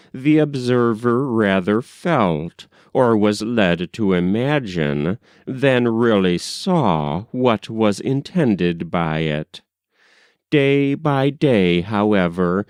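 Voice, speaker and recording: Guy reading an old story aloud, slow and careful, really animated intonation, dry close sound. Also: lowish voice, dry recording. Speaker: audiobook reader. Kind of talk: scripted literary narration.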